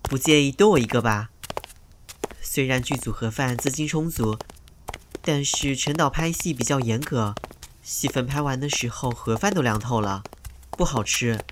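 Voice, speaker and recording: A young male actor in an audio drama played by a man, clingy and ingratiating, with an over-sweet, wheedling manner. His mid-pitched voice comes on a noisy track with clicks. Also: normal speed, close to an everyday voice. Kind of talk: Chinese audio drama acting.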